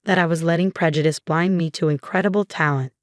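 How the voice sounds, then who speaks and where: A woman's voice: fairly low pitch, fairly even intonation, quick pace, no room sound, clean studio sound, natural voice. A woman voicing a humbled male company boss in her plain reading voice. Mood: contrite.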